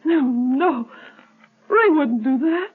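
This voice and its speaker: A woman's voice: high voice, lively intonation, slow pace. An actress playing a grieving, trusting young woman in a vintage radio drama, speaking in a hesitant, plaintive way. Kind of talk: 1940s radio acting. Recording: tinny old radio broadcast with faint music.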